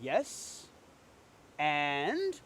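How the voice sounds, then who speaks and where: A man's voice: a little high, wildly swooping pitch, drawn-out words, fairly bright tone, exaggerated voice. A man acting a cocky, teasing twin brother who is flippant and unfazed by jail in an amateur drama reading.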